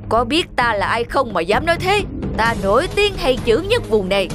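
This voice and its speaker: A pompous, bullying old scholar in a cartoon folktale, played by a woman, haughty and scolding as he talks down to others. His voice rides fairly high with lively, swooping pitch. Music runs clearly behind him. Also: moderate pace. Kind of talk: cartoon voice acting. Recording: music playing under voice.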